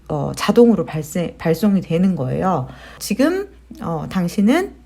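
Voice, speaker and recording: A woman with a slightly low voice narrates a software tutorial as its host. She explains each step in a conversational way, often posing questions to the viewer. The delivery is that of casual, practical instruction. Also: vocal fry. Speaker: tutorial host, digital marketer.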